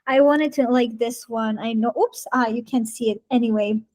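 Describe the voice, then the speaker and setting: Brief: slightly high-voiced woman host, Armenian native speaker, chatty and friendly. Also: normal speed, darkish tone. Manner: friendly and chatty, laughs often. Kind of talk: casual video-call interview.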